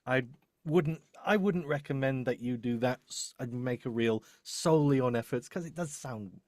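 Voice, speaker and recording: A man's voice: mid-range voice, normal speed, darkish tone, no room sound, studio quality. A man giving casual professional advice as a demo producer in a live discussion, often correcting himself and repeating phrases. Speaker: voice-over demo producer and host.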